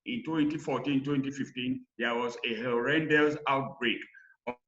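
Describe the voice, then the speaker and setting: A man from Sierra Leone with a Sierra Leonean accent, presenting recommendations in a halting, emphatic lecture style over lo-fi call audio. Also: mid-range voice, fairly even intonation, a bit bright. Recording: dull, lo-fi online call audio.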